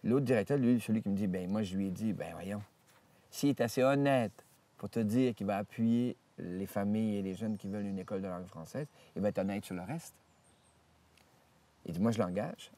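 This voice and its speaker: A man, a priest telling stories from his life in an interview, rambling with many hesitations and restarts and lively retelling of what others said. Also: fairly low pitch, brisk, far from the mic. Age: 70s. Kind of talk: storytelling interview. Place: outdoors in nature.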